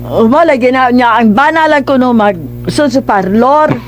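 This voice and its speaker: A woman's voice: high voice, normal speed, soft background music. A woman with a Cebuano accent chatting casually as a radio co-host. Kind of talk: casual chat.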